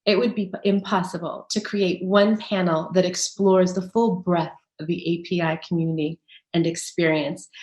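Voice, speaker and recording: Woman hosting a panel discussion, reads her intro then chats, kinda roomy echo. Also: on the low side. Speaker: panel moderator. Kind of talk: moderating a video-call panel.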